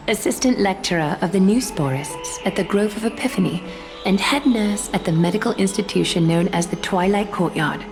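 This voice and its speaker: An actress voicing a composed, courteous noble lady ruler in a video game, speaking formally and with measured poise. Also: lowish voice, darkish tone, natural voice. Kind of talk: scripted video game dialogue. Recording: music playing behind.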